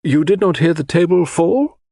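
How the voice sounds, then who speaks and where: A man voicing a courteous but stern male coroner in an audiobook, asking formal, measured questions. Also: on the high side, gravelly, dark tone, no room sound, studio quality, voice pushed up. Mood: politely brisk. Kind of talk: audiobook character dialogue.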